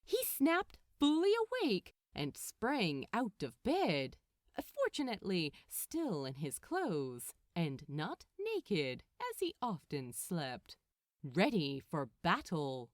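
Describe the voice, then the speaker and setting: A woman reading a story aloud as narrator, in an expressive scripted storytelling style. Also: on the low side, slow, no echo, studio quality. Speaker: fan fiction narrator.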